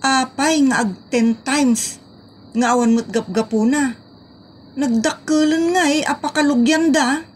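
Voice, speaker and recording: A woman's voice: high-pitched, a little slow, a little hiss or hum. A woman with an Ilocano accent acting a sassy, easily scandalised village woman in a comedy audio drama. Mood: questioning.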